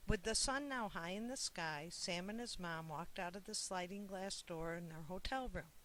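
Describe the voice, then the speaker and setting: An author narrates a children's picture book in a measured read-aloud voice of medium pitch. Key words get expressive emphasis. A light hiss runs through the recording. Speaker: author reading own book.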